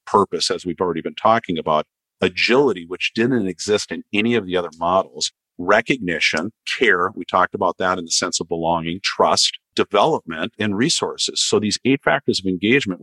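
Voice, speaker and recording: A baritone-voiced man speaking as a podcast guest in an earnest, reflective way, often repeating phrases as he builds his points. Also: a bit bright, no room sound. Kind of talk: podcast interview, consulting talk.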